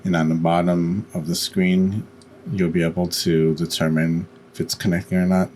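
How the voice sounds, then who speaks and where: Very low-voiced man giving a tutorial. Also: little pitch movement, normal speed, darkish tone, a little hiss or hum. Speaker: tutorial host. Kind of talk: step-by-step screen-recorded software tutorial.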